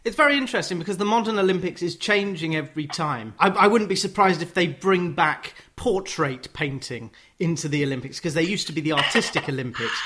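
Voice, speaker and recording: A male radio show host interviews his guest with wry, playful remarks. His voice sits a little higher than usual. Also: fairly quick, few pauses, bright, forceful tone. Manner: wry, jokey asides. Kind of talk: conversational interview.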